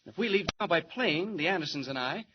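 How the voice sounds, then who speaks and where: An actor playing a confident young hero reporter, talking in a brisk, commanding, wry way in 1940s radio drama style. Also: male voice, on the high side, lively intonation, noisy background, natural voice. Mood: earnest. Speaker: lead. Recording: dull old radio recording.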